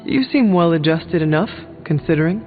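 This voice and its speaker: Polite, inquisitive hero for a video game, male actor: measured delivery, narrow muffled recording, music underneath. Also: a little high, lively intonation, lightly acted. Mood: earnest, probing. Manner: polite, measured, formal phrasing. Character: earnest, measured male hero, curious newcomer to the team. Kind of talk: video game dialogue acting.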